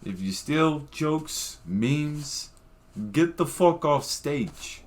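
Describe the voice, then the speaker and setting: A man's voice: fairly high pitch, animated, extremely slow, pitched above the performer's own voice. A man playing a blustering tough-guy Italian mobster, bossy and profane as he lectures. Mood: lecturing.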